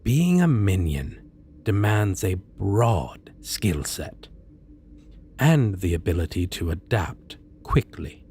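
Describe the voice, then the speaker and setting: A suave male supervillain, acted by a man, speaks softly in an ASMR roleplay. His low voice moves slowly, with deliberate pauses and expressive swings in tone. He is courteous yet menacing, over faint background noise. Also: full character voice. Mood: approving, businesslike. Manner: measured, soft-spoken, deliberate pauses. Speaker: voice actor.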